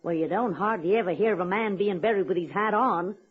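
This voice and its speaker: A woman's voice: mid-range voice, brisk, breathy edge, natural voice. An actress playing a folksy, blunt, unworldly woman with a wry, ungrammatical, drawling delivery in a radio comedy drama. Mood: wry, chatty. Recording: dull, lo-fi old radio recording.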